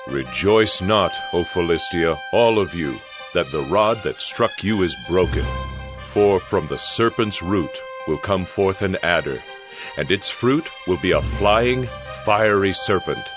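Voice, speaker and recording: Very deep-voiced solemn male narrator. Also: lively intonation, unhurried, over music. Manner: solemn, emphatic, declamatory. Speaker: scripture narrator. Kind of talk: dramatic scripted scripture narration.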